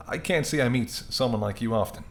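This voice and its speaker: A man playing a charming, teasing mafia boss who is smitten, speaking intimately and playfully to the listener in a roleplay audio drama. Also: medium pitch. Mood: amused, intrigued. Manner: smooth-talking, playful, flirtatious, addresses listener as doll. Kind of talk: intimate second-person roleplay audio acting.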